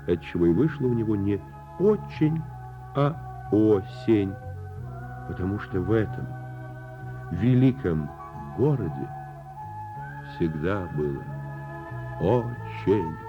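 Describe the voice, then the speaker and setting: A man with a husky voice narrates a children's fairy tale as a radio play, theatrically and unhurriedly, drawing out words. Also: on the low side, lively intonation, slow, pauses often. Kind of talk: expressive radio storytelling for children. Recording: muffled lo-fi radio recording. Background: music.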